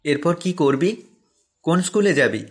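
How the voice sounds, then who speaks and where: A man playing a sweet, earnest teenage schoolboy in an audio love story, gentle and coaxing. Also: fairly high pitch, expressive, moderate pace, slightly rough, faint music behind. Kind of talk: Bengali audio story acting.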